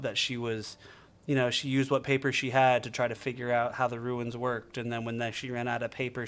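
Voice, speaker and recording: A man giving casual live game commentary as a streamer, thinking aloud in a halting, musing way. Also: mid-range voice, fairly bright tone.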